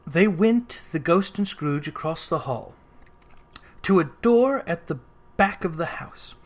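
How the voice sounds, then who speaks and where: A narrator with a medium-pitched voice reads a storybook aloud. The delivery is expressive and clearly scripted, in the manner of an audiobook.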